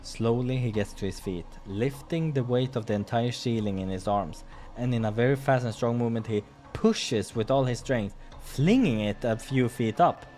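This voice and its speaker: A man's voice: medium pitch, moderate pace, hardly any pauses, warm tone. A man hosts a visual novel playthrough with music behind him. He reads the narration aloud and drops into casual remarks, sometimes tripping over a line and correcting himself. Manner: stumbles over read lines and corrects himself. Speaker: let's player reading a visual novel aloud. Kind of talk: gaming playthrough, reading narration with casual asides.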